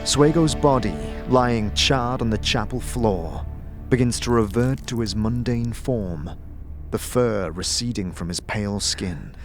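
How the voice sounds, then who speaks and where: A man's voice: on the low side, a little slow. A man reading scripted second-person fantasy narration in a dramatic style, as the narrator of an audio drama. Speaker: narrator and game master. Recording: loud music under the voice. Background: loud atmospheric music.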